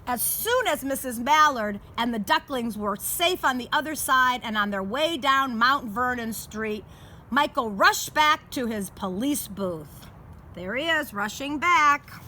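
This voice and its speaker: A woman reading a children's picture book aloud in a deliberate storytime manner, outdoors in a garden. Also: high voice, animated, pushed and bright. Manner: deliberate, showing pictures to listeners. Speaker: storytime reader. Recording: clear outdoor background noise.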